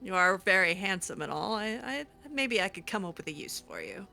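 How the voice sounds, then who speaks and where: A woman improvising in-character roleplay over a video game, speaking in a halting, stammering way. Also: medium pitch, animated, gravelly, pushed and bright. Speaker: roleplay gamer.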